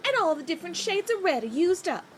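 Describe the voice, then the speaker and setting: Casting: a woman to play a kind, plain-speaking young girl for radio drama, high animated voice, earnest and softly reproving. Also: fast talker, a little hiss or hum. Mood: frank, sympathetic. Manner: earnest, gently scolding. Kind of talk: theatrical radio play acting.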